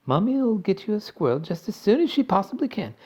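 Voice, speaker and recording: A man's voice: high voice, big pitch swings, fairly quick, dark tone, slightly rough, lo-fi sound, pitched above the performer's own voice, full character voice. A man voicing an indulgent rich mother who soothes and coddles her daughter. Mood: soothing.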